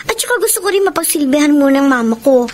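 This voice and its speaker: High-voiced lovestruck young Filipina sweetheart. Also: female voice, expressive, fast talker, slight background noise. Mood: happy, devoted. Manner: earnest, emotive. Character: lovestruck young woman student, devoted sweetheart. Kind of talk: Filipino radio drama acting.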